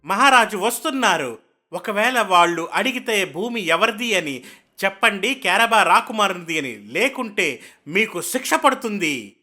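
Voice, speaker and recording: A man voicing a clever, flattering talking cat servant in a children's fairy tale, with a wheedling, theatrical manner. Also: high voice, moderate pace, faint music behind, exaggerated voice. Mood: bossy warning.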